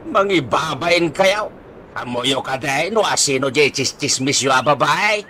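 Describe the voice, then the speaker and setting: A man's voice: on the high side, animated, pushed and bright, slight background noise. A man with an Ilocano accent acting an exasperated, bossy village captain who scolds in a comedy radio drama. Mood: accusing. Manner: scolding, drawn-out emphatic cries.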